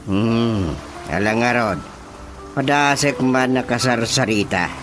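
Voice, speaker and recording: An actor with a Filipino accent playing an excitable, teasing village chairman in a radio drama comedy. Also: medium pitch, slow pace, natural voice. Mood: puzzled, probing. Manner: animated, exclaiming, teasing. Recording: radio drama with music underneath. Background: clear music.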